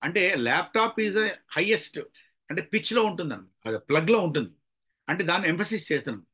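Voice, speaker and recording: A man's voice: high voice, expressive, normal speed, sharp tone, breathy edge. A man with a Telugu Indian accent giving a motivational public speaking lesson, demonstrating with varied emphasis and mixing in English phrases. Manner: mixes English phrases into explanations.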